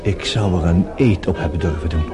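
An actor playing an excitable, easily flustered gentleman at a nightclub in a radio drama, emphatic and sometimes stammering. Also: sits low, fairly quick, natural voice. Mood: flustered, insistent. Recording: loud music behind the voice.

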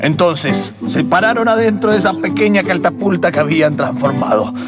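A man narrating an improvised radio play in dramatic, halting phrases. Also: sits high, loud music behind the voice.

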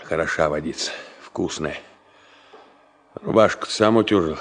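A man's voice: extremely low pitch, moderate pace. An actor playing a middle-aged senior engineer in a radio drama, scolding and teasing in a folksy, ironic, fatherly way. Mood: tired, teasing. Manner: folksy, ironic, chiding with rhetorical questions. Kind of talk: Soviet radio drama acting. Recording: noisy 1970 radio play recording.

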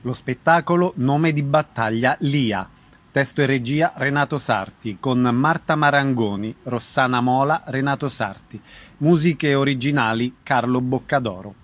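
A man's voice: medium pitch, unhurried. A man presenting arts listings and interviewing as a radio host, in a scripted announcing style.

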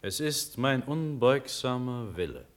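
An actor playing a noble young prince and officer, solemn and resolute, speaking lofty classical verse in a radio drama. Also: male voice, medium pitch, animated, unhurried, lightly acted. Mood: exalted, solemn.

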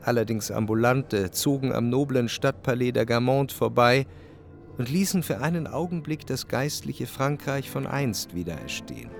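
A man playing a reflective, jealous lover who narrates his memories in measured literary prose for a radio drama. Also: medium pitch, lively intonation, gravelly, faint music behind. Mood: contemplative remembering.

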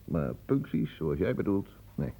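An actor playing a cautious, sceptical hospital doctor who explains carefully in a radio drama. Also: male voice, sits low, big pitch swings, measured pace, creaky, warm tone, breathy edge, full character voice. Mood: sceptical, explaining.

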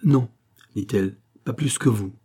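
A man with a husky voice reading the part of a proud, frightened countess who answers with terse, defiant denials. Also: mid-range voice, measured pace, warm tone.

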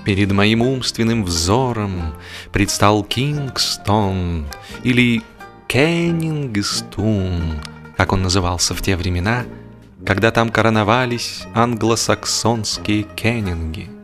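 A male audiobook narrator with a fairly low voice tells a humorous novel. His delivery is expressive and literary, and some music plays behind him. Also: slow.

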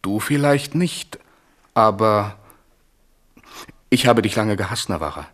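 A man's voice: on the low side, animated, slow pace, fairly bright tone. An actor playing a suspicious, resentful king in a radio play, brooding and intense. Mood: bitter, suspicious. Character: suspicious, resentful king plotting against his brother. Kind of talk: 1970s German radio play acting.

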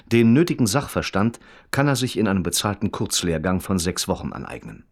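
A male radio play narrator with a very low voice. He is detached and observant, measured and precise, yet his intonation moves widely through the scripted prose. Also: very animated, full character voice. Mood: detached, matter-of-fact.

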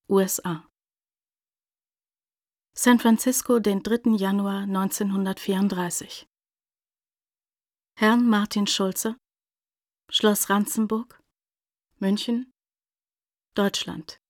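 An actress playing an impersonal announcer who reads out letterheads and telegrams in a neutral, official way for a radio play. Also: female voice, medium pitch, fairly even intonation, slow, long pauses, darkish tone, dry recording, studio quality. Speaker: narrator.

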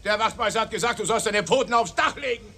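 Radio drama casting: aggressive young cop, higher bright voice, blunt and barking. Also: male voice, fast, a little hiss or hum. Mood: shouting, aggressive. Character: rough, eager junior policeman, aggressive. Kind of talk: radio play acting.

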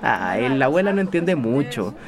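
A man's voice: medium pitch, sing-song, moderate pace, crowd noise. A man playing an easygoing, sly teenage schoolboy, speaking colloquially in a radio soap. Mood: breezy. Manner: casual, colloquial.

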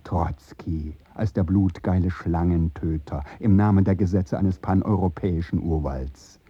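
A man's voice: deep voice, measured pace, breathy edge, no echo, highs cut off. An actor playing a bitter, sardonic male revolutionary delivering a formal literary monologue in a radio drama.

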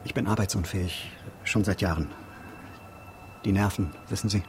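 An actor playing a suspicious, nervous male busybody with a halting, evasive, muttering delivery in a radio drama. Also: deep voice, over music, close to an everyday voice.

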